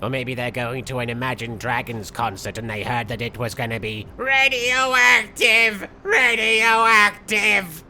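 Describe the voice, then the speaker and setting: A man voicing an excitable, vain cartoon villain, giving theatrical, petulant, jokey commentary on a video game. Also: medium pitch, unhurried, few pauses, slight background noise, exaggerated voice. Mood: indignant, excited. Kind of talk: in-character let's play commentary.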